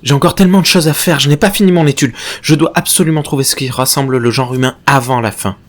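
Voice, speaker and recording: A man's voice: medium pitch, quick pace, natural voice. An impassioned philosopher-researcher acted by a man for a science fiction audio drama. His voice sits in a middle register. He holds forth at length like a professor lost in his own theories. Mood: agitated, impassioned. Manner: long-winded, professorial, impassioned. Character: obsessive, rambling male philosopher-researcher, devoted friend.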